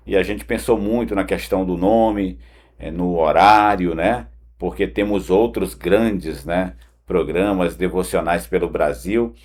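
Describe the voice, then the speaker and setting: A man's voice: low-pitched, a little slow, a little mellow. A man from Brazil, hosting a conversational morning devotional in an earnest, direct way and often adding tag questions. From Pará, northern Brazil. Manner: earnest, direct address with frequent "né" tags. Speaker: host of a morning devotional, former broadcaster. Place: home studio.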